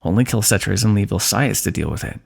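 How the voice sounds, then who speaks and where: A man playing a weary, wry fantasy warrior in an ASMR roleplay, speaking in measured, formal phrases with dry teasing. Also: lowish voice, gravelly, breathy edge, full character voice. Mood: thoughtful, scheming. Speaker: ASMR voice actor. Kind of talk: ASMR fantasy roleplay, one-sided dialogue.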